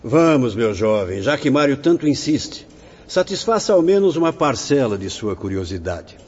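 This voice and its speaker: Radio novel: male actor as pious, fatherly elder friar, Brazilian accent, medium pitch, gentle counsel, lo-fi sound. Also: big pitch swings, moderate pace, breathy edge. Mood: reassuring. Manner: benevolent, formal, reassuring. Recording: dull lo-fi audio with faint music.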